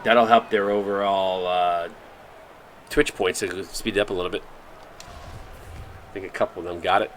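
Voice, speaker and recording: A man's voice: sits low, fairly even intonation, moderate pace, long pauses, slight background noise. A man calling a simulated basketball game on a live stream, upbeat and thanking viewers by name between plays. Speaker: play-by-play broadcaster for a simulated basketball league stream.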